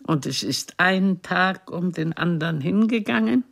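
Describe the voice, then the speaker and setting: An actress playing an aging, imperious stage diva who teases a former lover in a deliberate, theatrical radio drama delivery. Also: female voice, on the low side, animated, vocal fry, full character voice. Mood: wistful, reciting.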